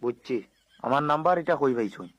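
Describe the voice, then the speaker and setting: A man's voice: medium pitch, lively intonation, fast talker, a bit bright, no echo. A man answering a phone call, asking polite, puzzled questions. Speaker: content creator receiving the call. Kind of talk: phone conversation.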